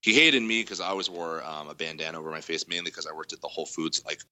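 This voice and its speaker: A man with a very deep but strikingly bright, loud voice talks through a story in a casual interview. He rambles, circling back with frequent fillers and restarts. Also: fast talker. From Denver area. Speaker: guest musician.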